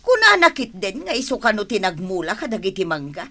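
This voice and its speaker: Bright, indignant Ilocano aunt. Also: female voice, medium pitch, big pitch swings, a little fast. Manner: indignant, emphatic. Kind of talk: Ilocano radio drama acting.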